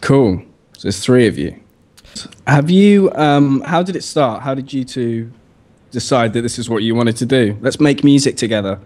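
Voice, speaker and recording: A man's voice: medium pitch, expressive, moderate pace, darkish tone. A man hosting a casual, joking radio interview, giggly and easily flustered.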